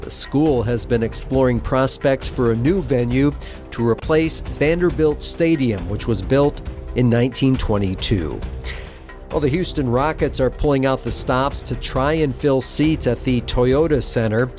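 A man reads a news roundup in a steady, measured newsreader delivery. His voice is mid-pitched for a man, with faint music playing under him. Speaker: news reporter. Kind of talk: reading a news segment.

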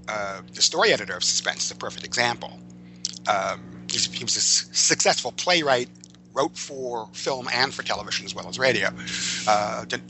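A man speaking as an interview guest, explaining writing craft conversationally in a digressive, emphatic, halting way. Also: lowish voice, noisy background. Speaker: interview guest, comic book and screen writer.

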